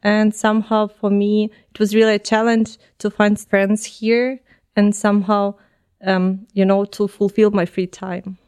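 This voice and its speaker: Smooth, even-toned woman. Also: medium pitch, a little slow. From Ukraine. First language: Ukrainian. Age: 20s. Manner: many ums and uhs, non-native phrasing. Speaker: podcast guest. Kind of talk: casual interview answers.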